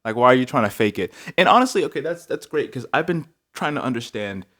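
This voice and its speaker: A young man from Africa co-hosting a faith podcast, musing aloud in casual chat with lots of fillers and lively leaps in pitch. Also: quick pace. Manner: reflective, many filler likes, animated jumps in pitch. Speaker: podcast co-host.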